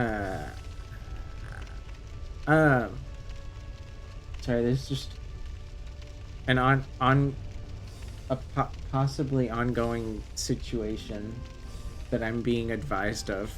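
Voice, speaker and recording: Slow-talking streamer man, French native speaker. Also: medium pitch. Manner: bursts of exclamations, swears, chats with viewers. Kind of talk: casual live gameplay commentary.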